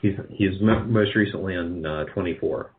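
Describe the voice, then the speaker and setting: A man's voice: low-pitched, normal speed, a little hiss or hum. A man chatting casually as an interview guest, thinking aloud in a hesitant, rambling way. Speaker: guest, radio drama producer. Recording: muffled, band-limited call audio.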